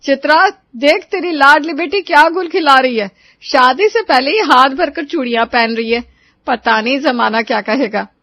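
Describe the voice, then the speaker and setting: A woman voicing a judgmental middle-aged neighbourhood aunt who taunts and scolds, in an animated story. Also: sits high, normal speed, dry recording, clean studio sound. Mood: taunting, complaining. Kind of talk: Hindi animated moral story dialogue.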